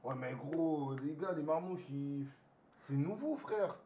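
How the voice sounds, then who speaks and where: A man's voice: fairly high pitch, lively intonation, slow, dark tone, slight background noise. A man in casual podcast banter, teasing and argumentative. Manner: teasing, argumentative football talk. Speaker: podcast guest. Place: podcast studio. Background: other people talking and laughing.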